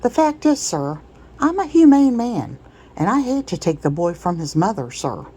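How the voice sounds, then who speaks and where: A woman voicing a reserved gentleman master in a storybook read-aloud, formal, dry and firm in his reluctance. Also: medium pitch, big pitch swings, moderate pace, husky, voice pushed up. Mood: reluctant.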